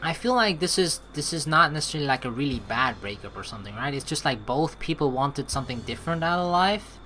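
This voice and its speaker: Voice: mid-range voice, expressive, fast talker, bright, forceful tone, slight background noise. A let's play streamer giving casual commentary, talking in a rambling, conversational way as if thinking aloud. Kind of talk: casual gameplay commentary mixed with reading game text aloud.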